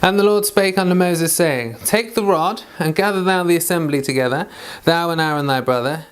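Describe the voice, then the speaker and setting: A man with a clear voice, reading scripture aloud with informal asides. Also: high voice. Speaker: Bible reader and commentator.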